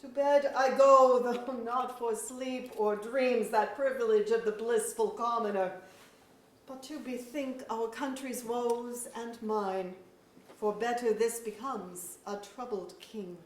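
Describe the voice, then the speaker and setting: A woman acts a sorrowful, proud fairy king in a staged verse play. The delivery is slow and declamatory, in a fairly high voice. It sounds distant, in an echoey auditorium. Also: steady tone, a little hiss or hum. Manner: formal, declamatory, archaic phrasing. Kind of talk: staged reading of verse drama. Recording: echoey auditorium, recorded from far off.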